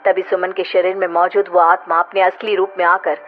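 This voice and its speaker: A woman's voice: lowish voice, little pitch movement, fairly quick, slight background noise. A woman giving dramatic scripted narration of a horror story. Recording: muffled audio with loud music bed.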